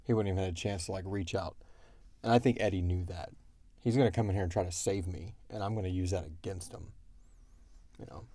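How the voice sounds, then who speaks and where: A man with a lowish, very mellow voice, recorded close and dry. He talks casually as a podcast co-host, working through his explanations as he goes, often halting to think. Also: dry recording. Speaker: podcast co-host and show creator answering fan questions. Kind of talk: casual question-and-answer chat about a fiction series.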